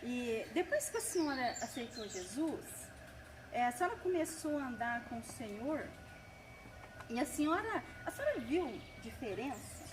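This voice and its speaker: Woman co-interviewer, hesitant and rephrasing, slightly high pitch, noisy outdoor birdsong. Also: sing-song, normal speed, far from the mic. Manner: hesitant, rephrases questions with fillers. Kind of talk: church interview.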